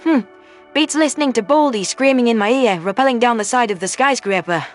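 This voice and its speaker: A woman's voice: a little high, fairly quick, close to an everyday voice. A woman playing a tough, sardonic human soldier with a dry, wry way of talking. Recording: music under the voice.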